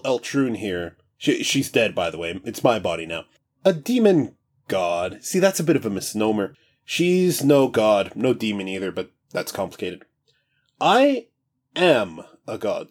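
A man voicing a chatty ancient male god-king who stammers and rambles with breezy excitement. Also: mid-range voice, voice pushed up.

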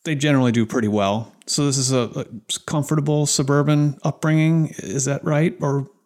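A podcast host asking a guest questions in a curious, unhurried way. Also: medium pitch, fairly even intonation, darkish tone. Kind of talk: interview conversation.

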